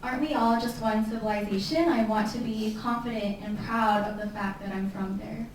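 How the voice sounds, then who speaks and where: A woman's voice: on the high side, steady tone, normal speed, slight background noise. A woman moderating and interpreting at a university Q&A. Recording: echoey hall from far off.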